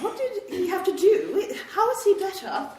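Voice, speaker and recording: A woman's voice: very high voice, very animated, normal speed, a little mellow. A woman playing a chatty, concerned girlfriend in a live radio-style comedy sketch, asking questions theatrically. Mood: curious, concerned. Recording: echoey live room, distant mic.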